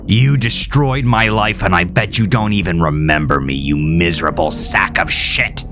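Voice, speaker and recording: A man's voice: deep voice, moderate pace, music in the background. Man acting out an enraged, grieving husband, anguished. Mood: furious. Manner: anguished, accusatory ranting. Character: enraged blood-soaked grieving husband, vengeful and accusing. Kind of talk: horror audio drama acting.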